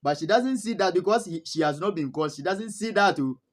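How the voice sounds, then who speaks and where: A man's voice: high voice, lively intonation, a little fast. A man from Ghana with a Ghanaian English accent, casually telling stories to camera as a vlogger, chuckling often and repeating words for emphasis.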